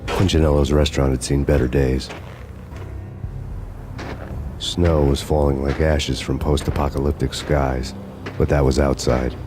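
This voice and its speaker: An actor playing a grim, world-weary, vengeful hard-boiled narrator in a noir game, brooding and deadpan. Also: male voice, rumbling low, moderate pace, background noise.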